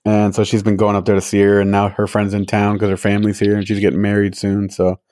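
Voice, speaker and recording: A man's voice: fairly low pitch, completely flat delivery, fast talker, darkish tone, no echo, studio quality. A young man chatting casually as a podcast host, rambling and losing his train of thought. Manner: rambling, loses train of thought, many fillers. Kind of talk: casual chat.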